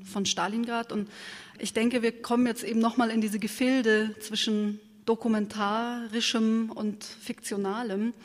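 A woman moderating an interview, putting prepared questions in careful, measured phrases. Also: a little high. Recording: reverberant hall.

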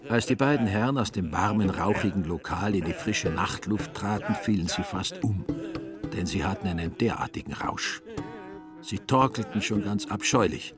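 A man's voice: lowish voice, expressive, moderate pace. A man narrating a literary story for a radio play. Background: music.

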